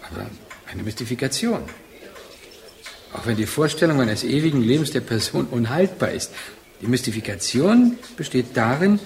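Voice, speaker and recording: An actor playing a rational, argumentative man in a radio play, speaking in a deliberate, philosophizing manner. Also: medium pitch, gravelly. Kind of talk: German radio play dialogue.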